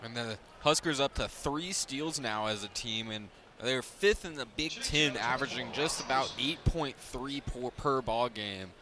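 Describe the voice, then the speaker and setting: A man's voice: medium pitch, measured pace, few pauses, a crowd in the background. A man giving conversational color analysis on a live basketball broadcast, explaining loosely and repeating words as he goes. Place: courtside broadcast in a basketball arena. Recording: loud arena noise behind. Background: basketball game ambience, ball bounces.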